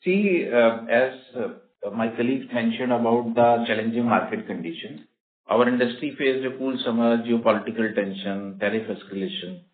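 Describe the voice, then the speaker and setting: Man, Indian accent, company executive, mid-pitched voice, formal emphatic delivery, echoey narrowband conference call. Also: moderate pace. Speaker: company executive answering questions. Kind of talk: earnings call answers.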